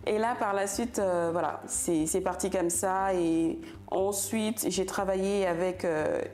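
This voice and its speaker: A woman chatting casually as a podcast guest in an animated, informal way. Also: mid-range voice, unhurried. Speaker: podcast guest, designer and lecturer. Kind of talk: casual conversational chat.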